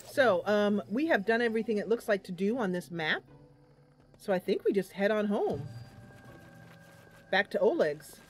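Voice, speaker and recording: A woman's voice: mid-range voice, expressive, moderate pace, long pauses, a little hiss or hum. A woman giving casual gameplay commentary, chatting to viewers and thinking aloud as she goes. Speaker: gaming channel host. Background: game music.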